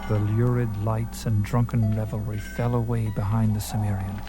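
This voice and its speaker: A man's voice: fairly low pitch, level tone, moderate pace. A man narrating a fantasy story in a vivid, theatrical, literary storytelling style. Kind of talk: dramatic scripted fantasy narration. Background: music.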